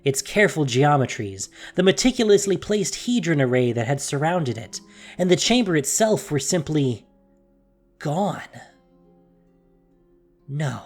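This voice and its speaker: A narrator reading a dramatic audio story theatrically, with deliberate pauses and hushed emphasis, in a scripted style. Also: medium pitch, very animated. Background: music.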